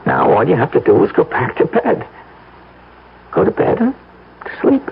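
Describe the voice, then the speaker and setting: A slippery young husband in an old-time radio mystery. His gravelly voice swings widely as he coaxes, on a narrow, phone-like vintage recording. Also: a little high, wildly swooping pitch, moderate pace, heavily acted. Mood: coaxing. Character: scheming young newlywed husband, coaxing and slippery. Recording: noisy, narrow-band vintage radio broadcast.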